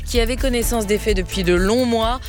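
A woman anchoring the radio news bulletin, reading the headlines in a crisp, measured way. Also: fairly high pitch, faint music behind. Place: radio studio.

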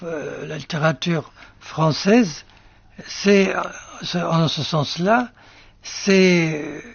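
An older male writer whose first language is Arabic, musing slowly in an interview with many fillers and restarts. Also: fairly high pitch, vocal fry, husky, no echo, dull audio. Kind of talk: reflective interview answers.

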